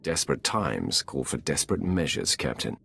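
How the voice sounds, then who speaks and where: An actor playing a cold, imperious Sith lord in a video game, gravelly and haughty. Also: male voice, a bass voice, very animated, normal speed, fairly bright tone, a little hiss or hum, exaggerated voice. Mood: disdainful. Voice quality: gravelly, husky. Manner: haughty, commanding. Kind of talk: video game character dialogue.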